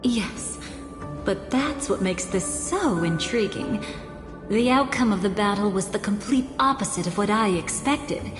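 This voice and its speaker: An enigmatic young woman from a video game acted by a woman, speaking slowly and with composure, a playful tease under the calm. Her voice rings with long reverb over clearly audible music. She sounds like someone who savors danger. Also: mid-range voice, lightly acted. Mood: calm, intrigued. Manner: teasing, composed, deliberate.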